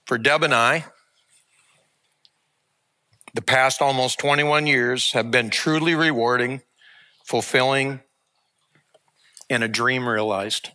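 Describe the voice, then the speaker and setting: Earnest bright-voiced pastor in his sixties. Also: medium pitch. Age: 60s. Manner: earnest, measured, heartfelt. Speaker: lead pastor. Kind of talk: sermon and farewell announcement.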